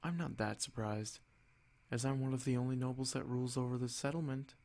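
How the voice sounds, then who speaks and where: A man playing a noble vampire lord in an ASMR roleplay, haughty and possessive, speaking in a measured, condescending, softly threatening way. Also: medium pitch, steady tone, creaky, close to an everyday voice. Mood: haughty, calm.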